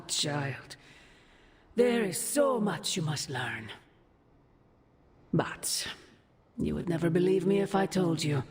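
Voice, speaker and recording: A woman's voice: sits low, breathy edge, heavily acted. An actress playing an ancient, seductive demon mother in a video game, talking in a manipulative, condescending and taunting way. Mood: condescending, coaxing. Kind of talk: cinematic video game acting. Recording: long reverb, faint ambient noise.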